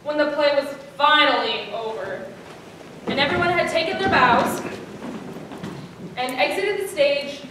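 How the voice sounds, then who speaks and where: Teenage female narrator for comic readers theater, high voice, projected and theatrical, recorded in a very echoey room. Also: unhurried, long pauses. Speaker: student performer, narrator. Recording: echoey room, distant mic, faint noise.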